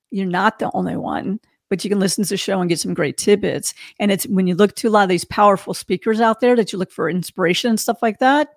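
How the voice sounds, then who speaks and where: A woman's voice: mid-range voice, creaky, no echo, studio quality. A middle-aged woman, a podcast host, talking earnestly in a casual interview, often restarting her sentences. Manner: repetitive restarts, earnest reflective talk.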